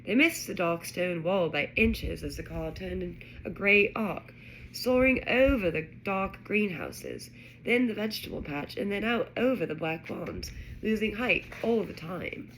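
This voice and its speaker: A woman reading a children's novel aloud in an expressive, dramatic narrating style. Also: medium pitch, a little slow, rough recording. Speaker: storybook reader. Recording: faint background noise.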